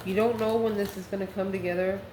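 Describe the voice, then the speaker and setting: A woman's voice: medium pitch, fairly even intonation, quick pace. Woman reading tarot in an echoey room. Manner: conversational, thinking aloud in short phrases. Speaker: tarot reader, channel host. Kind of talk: improvised tarot reading, spoken to viewers. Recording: roomy echo, faint background noise.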